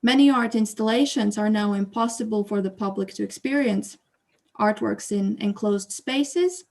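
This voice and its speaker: A woman's voice: medium pitch, vocal fry, darkish tone. A woman hosting an online exhibition opening who reads a prepared statement over a video call, then moderates with hesitant fillers. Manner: hesitant fillers when speaking off script. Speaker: exhibition host and curator.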